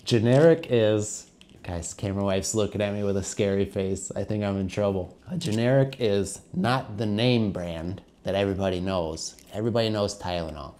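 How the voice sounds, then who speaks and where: A middle-aged man talks in a low, stuffed-up voice while he has a cold. He explains things to learners in a loose, chatty way and keeps calling his viewers guys. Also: a little mellow, slight background noise. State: congested with a cold. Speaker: English teacher vlogger. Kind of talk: casual explanatory vlog to learners.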